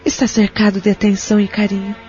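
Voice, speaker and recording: A Brazilian woman playing a compassionate, discreet care-home worker in an audio drama, speaking gently and gravely. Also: medium pitch, completely flat delivery, fast, close to an everyday voice. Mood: discreet, consoling. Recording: dull lo-fi audio, clear music behind. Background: background music.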